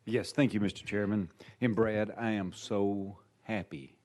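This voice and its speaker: A man's voice: on the low side, steady tone, darkish tone. A male legislator making a joking comment at a hearing, picked up by a distant mic. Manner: joking, slow.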